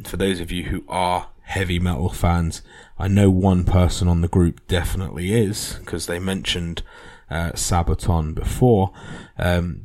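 A man's voice: sits low, darkish tone. A man hosting a history podcast, talking casually and earnestly with plenty of fillers and restarts. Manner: rambling, earnest, many fillers.

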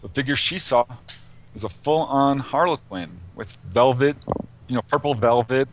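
Give a man's mid-pitched voice in a conversational interview, the guest researcher telling case stories. He speaks informally and anecdotally, with a lightly amused, chuckling manner. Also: expressive, normal speed, a little hiss or hum.

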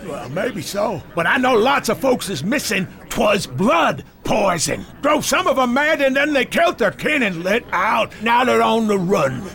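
An excitable villager, played by an actor, his high voice gravelly and bright. He trades folksy rumors in this radio play. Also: measured pace, few pauses, exaggerated voice. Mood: excited. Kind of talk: audio drama acting.

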